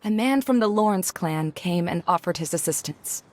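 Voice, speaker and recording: A woman voicing a brisk, blunt, formal young scholar in a video game, matter-of-fact in manner. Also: on the low side, expressive, a little mellow, a little hiss or hum, close to an everyday voice. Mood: troubled. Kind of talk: video game cutscene acting. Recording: background music behind the voice.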